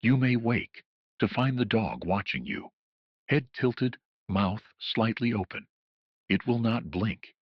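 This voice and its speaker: A man's voice: fairly low pitch, expressive, slow pace, breathy edge. A man narrating a scripted horror emergency broadcast as a solemn, ominous official announcer, in muffled lo-fi audio with a roomy echo.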